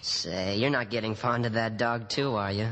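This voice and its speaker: A man playing a practical, reassuring older brother, a young man, with expressive old-time radio drama acting. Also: on the low side, normal speed, slight background noise, close to an everyday voice. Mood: assertive, teasing. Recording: vintage radio recording with long reverb.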